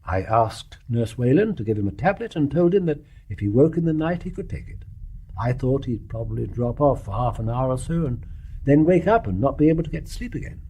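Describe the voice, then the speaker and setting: An actor playing a blunt, composed British family doctor with a formal manner in a radio drama. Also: male voice, medium pitch, animated, a little fast, mellow, slight background noise, close to an everyday voice, low-quality recording. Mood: measured. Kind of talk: British stage-play radio acting.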